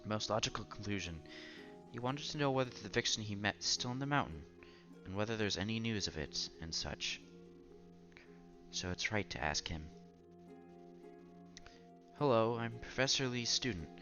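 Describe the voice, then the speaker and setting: A man's voice: fairly low pitch, animated, normal speed, pauses often, dull audio. A man reading a visual novel aloud in a casual let's-play style, sometimes stumbling over words and repeating them. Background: game music.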